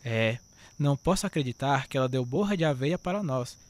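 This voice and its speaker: A woman's voice: very deep voice, lively intonation, a little fast. A woman with a Brazilian accent acting a resigned, protective, hungry older orphan brother in a radio drama. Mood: bitter, wary.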